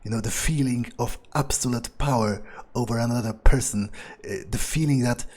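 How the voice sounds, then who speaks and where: A man's voice: medium pitch, normal speed, a little mellow, natural voice. A man playing a smug, power-hungry vampire in improvised roleplay, musing and gloating in a hesitant way. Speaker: gamer playing in character.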